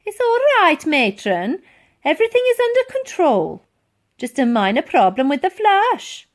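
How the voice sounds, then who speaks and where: A woman's voice: high-pitched, very animated, measured pace, voice pushed up. A woman voicing an anxious, good-natured man in a storybook, earnest and eager to reassure.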